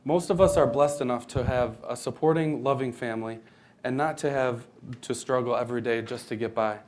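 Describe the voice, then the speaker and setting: A man's voice: mid-range voice, a little fast, darkish tone. Young american guy reading a script aloud for a stage reading, kinda formal, trips on words now and then. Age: young adult. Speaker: student performer and narrator. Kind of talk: scripted readers theater narration, read aloud.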